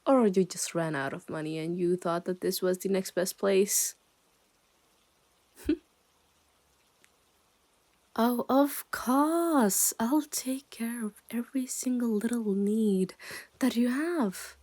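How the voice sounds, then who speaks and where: A woman playing a smug, possessive yandere kidnapper in an ASMR roleplay, teasing the listener and drifting into breathy whispers. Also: medium pitch, takes it slowly, pauses often, darkish tone. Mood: possessive, coaxing.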